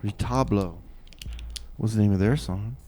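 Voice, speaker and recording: A man hosting a community radio show in a casual, improvised style, often halting as he talks. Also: lowish voice, warm tone.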